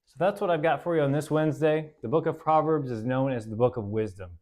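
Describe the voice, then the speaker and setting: Casual man teaching scripture. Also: on the high side. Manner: relaxed, conversational, with fillers and self-corrections; reads verses slowly and deliberately. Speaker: host. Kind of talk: casual Bible study talk.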